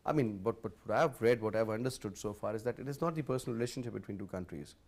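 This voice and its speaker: A man's voice: fairly low pitch, very fast, a little mellow. A man hosting a TV panel discussion, interjecting and politely addressing his guests as sir. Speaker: talk show host.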